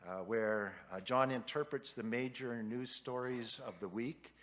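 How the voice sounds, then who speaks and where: A man in a church introducing the guest speaker in a measured way, with frequent hesitations. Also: medium pitch, a bit bright. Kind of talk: read introduction. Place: church sanctuary.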